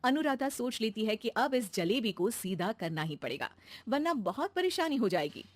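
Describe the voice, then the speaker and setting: Lively woman storybook narrator. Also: a little high, normal speed, no room sound.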